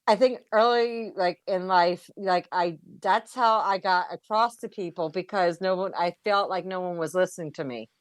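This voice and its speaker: A woman's voice: lowish voice, normal speed, few pauses, no room sound. Blunt woman in dry, casual conversation. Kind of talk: casual chat. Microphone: built-in laptop mic.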